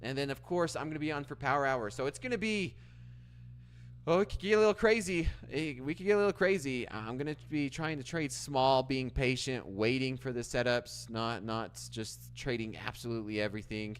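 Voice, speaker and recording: Man streaming, chatty and unhurried. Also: mid-range voice, smooth. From Canada. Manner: reads chat aloud and answers, with frequent restarts. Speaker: livestream trading host. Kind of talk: casual live-stream chat with viewers.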